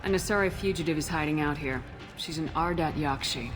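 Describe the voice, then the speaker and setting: An actor playing a terse, businesslike soldier hero in a video game, direct and matter-of-fact. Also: male voice, on the high side, brisk, close to an everyday voice. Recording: music behind.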